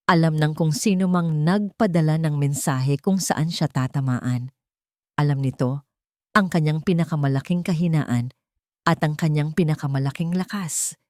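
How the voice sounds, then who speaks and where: A woman reading scripted dramatic fiction as a storyteller, in a measured, dramatic manner. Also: low-pitched, warm tone, no room sound, clean studio sound. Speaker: story narrator.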